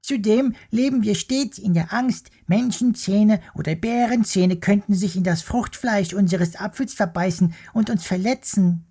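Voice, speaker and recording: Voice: medium pitch, a little slow, few pauses, warm tone, husky, voice pushed up. A storyteller voicing a small, indignant male worm who lives in an apple, huffing and complaining in a children's story. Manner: indignant, huffy. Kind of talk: storybook character voice.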